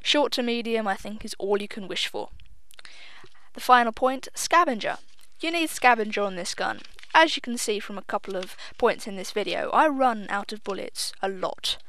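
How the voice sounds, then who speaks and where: A woman's voice: on the high side, lively intonation, moderate pace, a bit bright. A young woman giving casual, chatty gameplay commentary. She is self-deprecating, swears offhandedly and admits she sounds a little nervous. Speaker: gaming video host.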